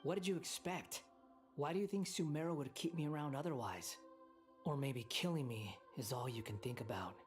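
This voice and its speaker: Brief: actor as a cynical young outcast, mid-pitched, smooth and mocking, game cutscene. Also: male voice, moderate pace, faint music behind, lightly acted. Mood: sardonic. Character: sardonic young man, a fallen former enforcer. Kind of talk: video game voice acting.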